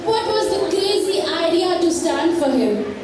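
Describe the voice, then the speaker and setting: A woman's voice: very high-pitched, expressive, a little slow, background noise. A woman narrating a school play from a script on stage. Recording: echoey hall, distant, music behind. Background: music.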